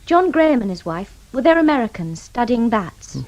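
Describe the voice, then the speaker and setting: Earnest young woman scientist: high, expressive, courteous radio-play dialogue. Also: moderate pace, highs cut off. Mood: polite, earnest. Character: polite young female marine biologist, earnest.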